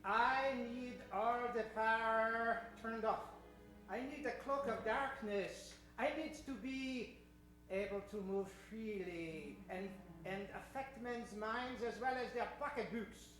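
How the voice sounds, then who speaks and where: A man playing a grandiose, sinister electric supervillain in a radio play, with a put-on continental European accent and deliberate, theatrical menace. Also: very high voice, fairly bright tone, heavily acted. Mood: imperious. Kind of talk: improvised old-time radio acting. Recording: very echoey live stage, music behind.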